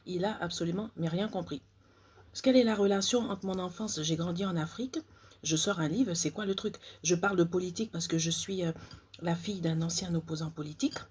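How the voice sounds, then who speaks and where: A woman who grew up in Côte d'Ivoire, an author talking straight to her viewers, indignant and halting, full of fillers and repeated phrases. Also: mid-range voice, a little mellow, husky. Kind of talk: direct-to-camera vlog rant.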